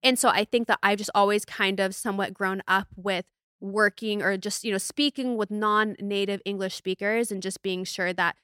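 Young american lady chatting on a podcast, bright forceful voice, super dry close sound. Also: medium pitch, moderate pace, few pauses, clear, resonant tone, no echo. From United States. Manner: chatty, animated, informal. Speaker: podcast host. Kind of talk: casual chat and personal storytelling.

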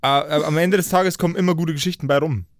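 A man chatting casually as a podcast game master, explaining haltingly and often repeating words. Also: a little high, fairly even intonation, dry recording, clean studio sound.

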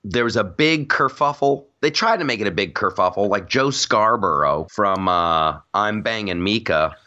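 A man's voice: on the low side, expressive, moderate pace, sharp tone, highs cut off. A man hosting a podcast, chatting casually and jokily, rambling with frequent restarts. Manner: jokey, rambling, many restarts. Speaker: podcast host. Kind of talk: casual political chat.

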